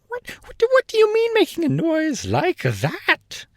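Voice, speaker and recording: Man playing a blustery little gnome king, slow drawn-out words, pitch swinging high, dry close read. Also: huge pitch swings, no echo, heavily acted. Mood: panicked. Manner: drawn-out, blustering, jumps into yelps. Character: small blustering gnome king, jumpy and gloating.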